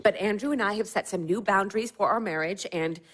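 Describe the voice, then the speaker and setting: An actress playing a firm, moralizing young wife in an audio drama, earnest and controlling, often curt. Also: female voice, mid-range voice, fairly quick, close to an everyday voice. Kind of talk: audio drama acting, naturalistic dialogue.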